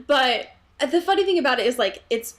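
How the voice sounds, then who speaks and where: A woman with a fairly high voice co-hosts a casual book podcast. She talks in a loose, conversational way, giggly and given to playful sarcastic asides. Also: animated, fairly quick. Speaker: podcast co-host. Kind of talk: casual book discussion chat.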